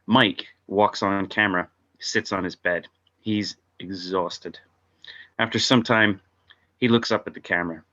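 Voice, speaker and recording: A man's voice: low-pitched, fairly even intonation, measured pace, bright, forceful tone. A man narrating stage directions from a script at an informal table read, heard over lo-fi video call audio.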